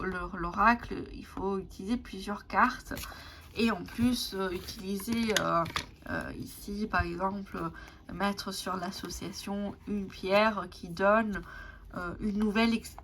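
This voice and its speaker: A woman whose first language is Italian, casually showing tarot and oracle decks, with many pauses and restarts. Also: medium pitch, fairly bright tone. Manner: hesitant, many fillers and restarts. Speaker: tarot and oracle deck reviewer. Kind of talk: casual show-and-tell vlog. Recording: clear background noise.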